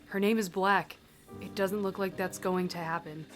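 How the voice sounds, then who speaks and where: A woman's voice: fairly low pitch, fairly even intonation, moderate pace, close to an everyday voice. A woman playing a level-headed, probing female reaper in an audio drama, with a direct, dry manner. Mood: firm, probing. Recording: music behind the voice.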